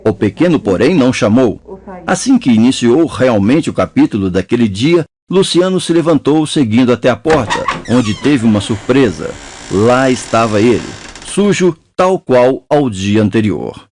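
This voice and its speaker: Guy reading an audiobook, mid-pitched voice, steady and measured. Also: a little hiss or hum. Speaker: audiobook narrator. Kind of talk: scripted audiobook narration.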